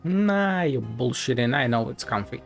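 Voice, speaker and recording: A woman's voice: rumbling low, expressive, moderate pace, creaky, faint music behind. A woman giving casual, wry game commentary, musing aloud as she plays. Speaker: game streamer.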